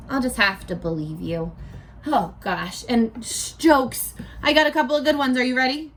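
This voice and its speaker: A woman teaching young children in a read-aloud lesson, speaking in an animated, playful way and asking questions as if the viewers answer back. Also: high voice, a little hiss or hum. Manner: asks questions and answers imagined replies.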